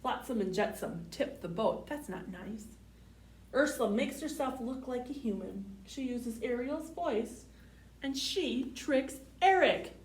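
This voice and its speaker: A woman reads a children's story aloud in a high, very animated voice, pausing for little asides to her young listeners. She takes her time over each short sentence. The sound is roomy, as if the microphone is some way off. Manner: simple short sentences, expressive, addresses children with questions. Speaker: library storytime host reading picture books. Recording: roomy, distant mic, faint background noise.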